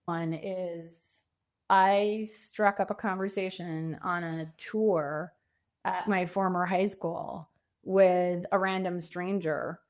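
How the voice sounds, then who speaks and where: A woman's voice: lowish voice, fairly even intonation, slow. A woman speaking as a podcast guest, telling anecdotes in a casual, informal way and voicing quotes. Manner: informal, illustrates points with anecdotes and acted-out quotes. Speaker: podcast guest, author and coach. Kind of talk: casual conversational storytelling.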